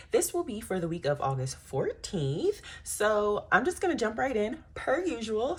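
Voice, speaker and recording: A woman's voice: fairly low pitch, husky. A woman in her thirties hosting a planning channel, chatting casually with many ums while she works, a little stuffy from a cold. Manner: chatty, many ums, Southern-style "y'all".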